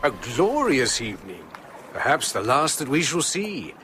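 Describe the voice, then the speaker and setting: Expressive, genial older gentleman. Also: medium pitch, a little slow, slight background noise. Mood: serene, friendly. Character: genial, pious older gentleman musing on nature. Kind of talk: BBC radio drama acting.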